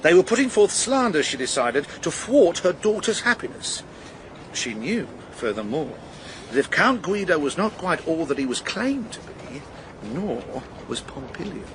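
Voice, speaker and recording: A disdainful gossip savours his words archly in a radio play. His voice sits a little high and moves about a lot, over faint background noise. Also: very animated, normal speed. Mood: arch, malicious. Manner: arch, relishing each word. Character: catty, disdainful male gossip. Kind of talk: radio drama dialogue.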